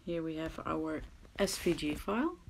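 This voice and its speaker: Dutch-accented woman, gravelly low voice, casual hesitant how-to narration over a phone mic with faint background hiss. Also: animated, normal speed, darkish tone. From Netherlands. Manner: hesitant, self-deprecating, drops to murmurs. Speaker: crafting tutorial maker. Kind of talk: casual screen-recorded tutorial. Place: at someone else's home.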